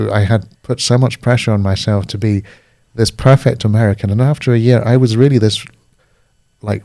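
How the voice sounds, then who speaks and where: A British man with a fairly low voice talks things through slowly and reflectively. He is a coach and a podcast guest, and he pauses to find his words. The sound is close and dry. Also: warm tone, dry recording. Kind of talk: casual podcast conversation.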